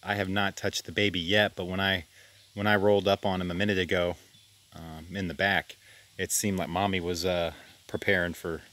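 A man giving a relaxed, rambling tour of his farm by a creek, filmed on a handheld camera outdoors. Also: sits low, normal speed. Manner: rambling, relaxed, self-deprecating with restarts. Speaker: farm vlogger. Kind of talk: casual walk-and-talk farm tour.

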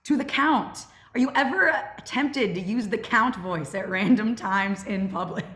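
A woman's voice: medium pitch, a little slow, a bit bright, roomy sound. A young woman hosting a casual question-and-answer session over a group video call. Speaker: host of club Q&A, show creative team. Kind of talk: casual panel Q&A chat. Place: remote video call, roomy indoor space.

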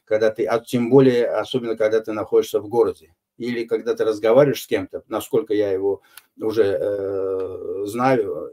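Slow, measured older man. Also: on the low side, warm tone. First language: Azerbaijani. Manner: measured, unhurried, frequent hesitations. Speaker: interview guest. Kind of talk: recorded online interview answers.

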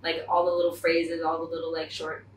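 Young woman chatting, low voice, echoey room. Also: completely flat delivery, fairly quick, far from the mic. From Thailand and Hong Kong. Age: young adult. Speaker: interview guest. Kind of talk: casual chat. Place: living room, on a leather couch. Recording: echoey room, off-mic, background noise.

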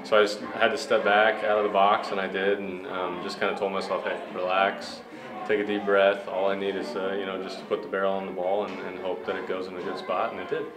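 A man's voice: sits low, level tone, fairly quick. A young man, a baseball player, answering post-game interview questions in an upbeat, humble way with many fillers. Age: young adult. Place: ballpark media room in front of a sponsor backdrop. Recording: echoey room with music behind. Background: music playing in the clubhouse.

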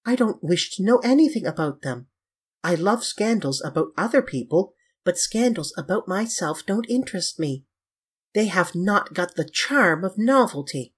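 A male narrator plays a languid, petulant young gentleman in a storybook reading. The voice is lifted high and swings freely in pitch, with an airy, affected, dismissive manner. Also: animated, normal speed, slightly rough.

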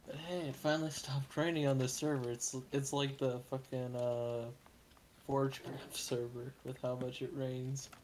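A man chatting casually while livestreaming a game, rambling and swearing freely, with a booming voice. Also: flat delivery, moderate pace, darkish tone, a little hiss or hum. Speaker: streamer. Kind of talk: casual gaming stream chat.

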